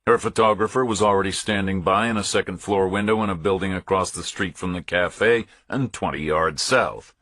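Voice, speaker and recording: A man reading a first-person thriller audiobook as narrator, measured, restrained and matter-of-fact. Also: low voice, fairly bright tone, no room sound.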